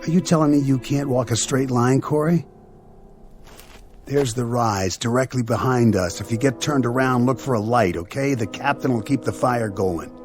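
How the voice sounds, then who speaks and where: A man's voice: medium pitch, normal speed, soft background music, lightly acted. An actor in a radio drama playing a stern military spaceman on recon, with a commanding, scolding manner. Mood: hard, scornful.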